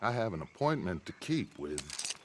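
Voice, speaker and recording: A man's voice: sits low, very animated, normal speed, noisy background. An American man playing a charismatic, grandiose middle-aged outlaw gang leader in a Western game, theatrical and sardonic. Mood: mocking, theatrical. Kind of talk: dramatic video game cutscene acting.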